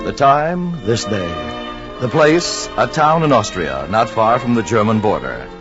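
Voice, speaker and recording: A man's voice: fairly low pitch, very animated, unhurried. A man announcing a 1940s radio program in a formal, emphatic, scripted style. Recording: dull vintage radio recording, loud music.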